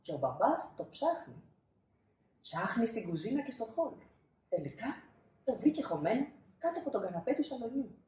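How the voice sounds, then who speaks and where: Animated woman reading a children's storybook. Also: fairly high pitch. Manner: dramatic pauses and emphasis. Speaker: storybook reader. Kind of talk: expressive children's storybook narration. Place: small indoor room, seated. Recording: roomy indoor echo.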